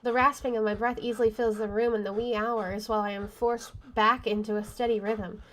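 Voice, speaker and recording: A woman's voice: on the high side, little pitch movement, a little fast, a bit bright. A woman reading a horror visual novel aloud as she plays, in dramatic first-person narration. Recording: faint game music behind the voice. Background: faint game music.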